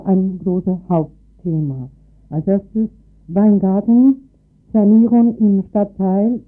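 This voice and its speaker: A woman hosting a radio programme, announcing the line-up live in a hesitant, piecemeal way. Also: mid-range voice. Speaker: radio host. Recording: tinny phone-like radio recording with music. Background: music.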